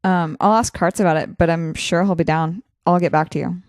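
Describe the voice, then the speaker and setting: A woman playing a reserved, curious young college student in an audio drama, talking in a tentative, low-key way. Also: fairly even intonation, brisk, dry recording, studio quality, close to an everyday voice. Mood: tentatively scheming. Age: young adult. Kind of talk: naturalistic audio drama dialogue.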